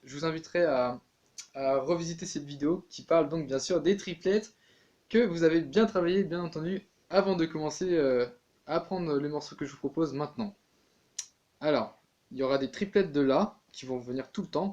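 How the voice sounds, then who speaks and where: Casual male music teacher, slightly high voice, chatty with lots of fillers. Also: fairly quick, a little mellow, lo-fi sound. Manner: chatty, many fillers and restarts. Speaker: tin whistle teacher.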